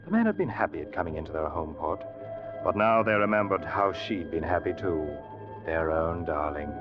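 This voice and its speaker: A man's voice: deep voice, lively intonation, moderate pace, music in the background. An actor playing a grave, loyal ship's officer telling his story dramatically in an old-time radio drama. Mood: somber. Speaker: radio actor, first-person narrator. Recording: muffled vintage radio recording.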